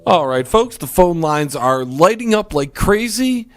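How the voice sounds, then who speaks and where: A man's voice: fairly high pitch, very animated, a little slow, no room sound, faint music behind. A man hosting talk radio, delivering opinionated, mock-earnest rants and taking callers. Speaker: radio talk show host.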